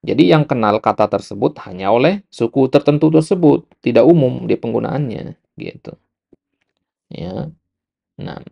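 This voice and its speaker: A man's voice: medium pitch, expressive, moderate pace, vocal fry, pauses often, muffled recording. A man with an Indonesian accent teaching a religious studies lesson, explaining step by step with repetition and short interjected check words. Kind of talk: explanatory religious lecture, reading and glossing an Arabic text.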